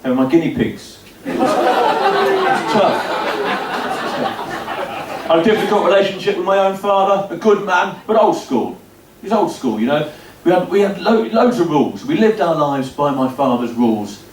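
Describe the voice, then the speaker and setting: Fifties male comic, slightly high voice, dry self-mocking delivery, live club sound, roomy and off-mic. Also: expressive, normal speed, crowd noise, background noise. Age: 50s. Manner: dry, self-deprecating storytelling with punchy asides. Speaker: stand-up comedian. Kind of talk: stand-up comedy set. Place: comedy club stage before a laughing audience. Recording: roomy live venue recording from a distance.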